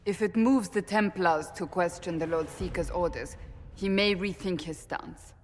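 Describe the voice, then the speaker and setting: An actress playing a firm warrior adviser in a video game, speaking gravely and formally. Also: female voice, lowish voice, normal speed, a little hiss or hum, lightly acted. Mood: serious. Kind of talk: video game dialogue acting.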